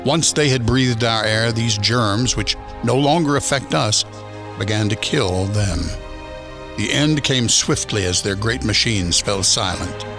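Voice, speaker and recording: An actor giving grave, deliberate dramatic narration as the storyteller of a science fiction radio play. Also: male voice, fairly low pitch, over music. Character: solemn male narrator of a world-ending science fiction tale.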